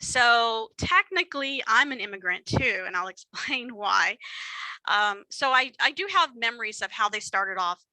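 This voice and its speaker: A woman with a Texan accent presenting a workshop in an easy, chatty way, with lots of fillers and self-deprecating asides. Her voice is very bright and forward, recorded close and dry with no room sound. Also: fairly high pitch, animated, unhurried, no echo, low-quality recording. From Texas. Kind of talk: conversational lecture with slides.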